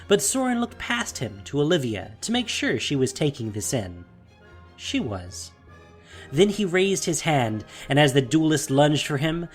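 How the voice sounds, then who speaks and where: A narrator tells a fantasy story in a dramatic, scripted style. The pitch moves widely and expressively, while quiet music plays behind the voice. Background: soft music.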